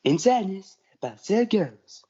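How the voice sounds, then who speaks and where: A woman's voice: mid-range voice, sing-song, measured pace, mellow, slightly rough. A female student reading scripted narration for a school reader's theatre play. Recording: dull lo-fi audio.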